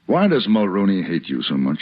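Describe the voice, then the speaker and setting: Tough, principled Western lead, firm and animated, muffled vintage radio drama sound. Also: male voice, deep voice, fairly quick, exaggerated voice. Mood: steady. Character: tough, principled Western hero, protective and commanding. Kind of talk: 1950s radio drama acting. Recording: muffled, noisy old radio recording.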